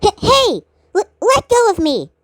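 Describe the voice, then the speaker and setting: A woman's voice: extremely high pitch, huge pitch swings, unhurried, no room sound, full character voice. A woman voicing a grumpy, reluctant loner in an amateur cartoon, grouchy and stammering when pressed. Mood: indignant, flustered.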